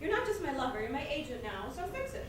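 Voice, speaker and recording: A woman's voice: high voice, big pitch swings, quick pace. A woman playing a theatrical, imperious, self-pitying film star in stage comedy style, dramatically repeating her words. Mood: haughty, demanding. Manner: melodramatic, repeats words for effect. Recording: very echoey stage, distant mic, noisy.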